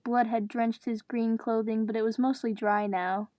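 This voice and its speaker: A woman's voice: on the high side, little pitch movement, moderate pace, mellow, no echo. A woman reading a story aloud dramatically, with joking asides in her own voice. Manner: breaks character to groan and joke. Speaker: reader of fan fiction.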